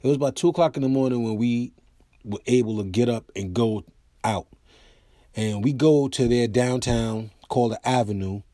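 An American man hosting his own podcast, telling comic stories in a loose, animated way. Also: mid-range voice, normal speed, no room sound, studio quality. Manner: animated storyteller, acts out quoted voices. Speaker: podcast host and comedian. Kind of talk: casual storytelling monologue.

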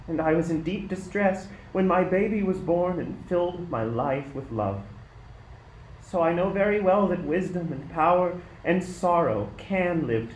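A man's voice: on the high side, highs cut off. Man playing solemn Mary in an echoey room. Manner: solemn, measured, reverent. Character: Mary, humble, devout young mother of Jesus. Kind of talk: amateur radio play acting. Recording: echoey room with background noise.

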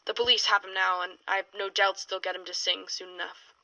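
A woman's voice: medium pitch, level tone, a little fast, sharp tone, no room sound, lo-fi sound. A woman playing a hard-boiled, world-weary female paranormal investigator in a 1920s noir audio drama, with wry, slangy delivery. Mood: weary, brooding. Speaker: investigator and narrator. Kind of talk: audio drama, noir-style recorded case statement.

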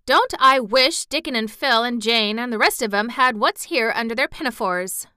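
A woman's voice: fairly high pitch, normal speed, sharp tone, clean tone, no room sound, studio quality. A woman voicing a good-tempered young maid with a broad Yorkshire accent, outspoken and talkative. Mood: indignant. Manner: broad dialect, rattling and outspoken.

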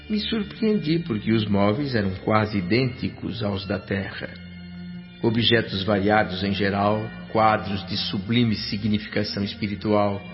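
A man's voice: on the low side, close to an everyday voice. An actor with a Brazilian accent playing an earnest, grateful spirit who narrates his own story in a radio drama, reverent and literary in manner. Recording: dull radio recording, faint music.

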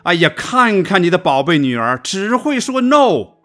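A man's voice: sits high, sing-song, unhurried, a bit bright, heavily acted. A man playing an insistent, instructive father of a young girl in a radio drama. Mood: insistent, exasperated.

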